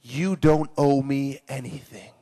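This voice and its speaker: A man voicing a pompous, regal storybook king with a booming, drawn-out voice. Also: steady tone, dark tone, in a large hall. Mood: relenting. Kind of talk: acted-out storytelling.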